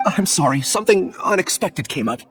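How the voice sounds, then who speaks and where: A man's voice: high-pitched, sing-song, fairly quick, a bit bright, soft background music, heavily acted. An actor playing an apologetic, polite young male research worker in a video game. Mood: earnest, apologetic.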